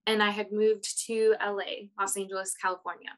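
A woman's voice: medium pitch, flat delivery, normal speed, a bit bright, rough recording. Woman interpreter voicing a panelist. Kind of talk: simultaneous voice interpreting on a video panel. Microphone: video call.

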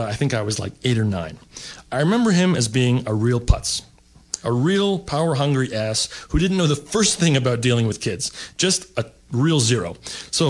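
Middle-aged man from the US, seated stand-up storyteller; wry, rambling delivery. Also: medium pitch. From United States. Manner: wry, rambling with fillers, mock-snobbish asides. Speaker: stand-up comedian. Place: seated at a table, indoor stage.

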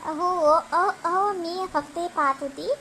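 A woman's voice: very high voice, a little slow, sharp tone, slight background noise, heavily acted. A woman voicing an eager, chatty young wife in a cartoon family comedy, explaining things. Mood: excited. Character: eager young wife, chatty sister-in-law planning kindly.

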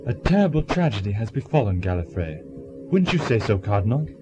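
A man's voice: on the low side, sing-song, brisk, noisy background. A man playing a scheming, commanding alien villain posing as a hero in an audio drama, pompous and silkily formal. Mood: smoothly persuasive. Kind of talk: sci-fi audio drama acting.

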